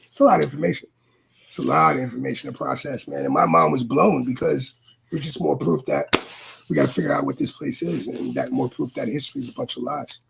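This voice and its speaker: Gravelly New York man, rambling. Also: medium pitch, a little hiss or hum, low-quality recording. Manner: rambling, animated, drawn-out "man" asides. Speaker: podcast guest. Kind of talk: casual chat.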